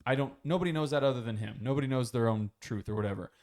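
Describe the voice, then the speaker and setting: A man's voice: medium pitch, racing, a little mellow. Rambling, foul-mouthed podcast co-host man. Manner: rambling storyteller, swears freely, acts out quotes. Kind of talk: casual podcast chat.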